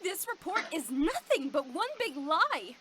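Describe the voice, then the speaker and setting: A woman voicing a sharp, guarded detective boss with a short temper in a visual novel game. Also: sits high, sing-song, measured pace, heavy background noise. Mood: angry, accusing. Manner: curt, defiant. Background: music.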